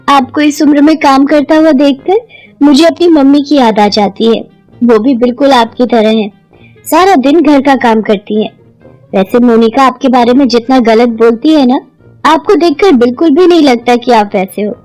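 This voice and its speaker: A meddling young neighbour in a cartoon story, gossipy and slyly flattering, played by a woman. The high voice chatters and insinuates over faint background music. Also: normal speed, lightly acted, low-quality recording.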